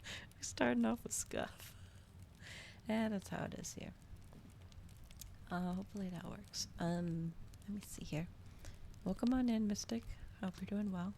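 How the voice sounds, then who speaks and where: A woman with a soft, gentle voice that often slips toward a whisper, chatting casually and a little hesitantly to viewers as an ASMR livestream host. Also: medium pitch, unhurried, vocal fry, long pauses. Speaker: streamer hosting a live reading. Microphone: regular microphone, not ASMR-specific. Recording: audible background noise, fireplace ambience. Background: crackling fireplace ambience.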